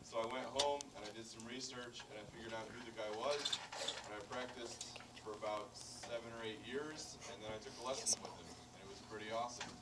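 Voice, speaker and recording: A man's voice: fairly low pitch, brisk. An American man from small-town Illinois, speaking informally to an audience as a musician. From small-town Illinois, United States. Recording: distant mic, very noisy.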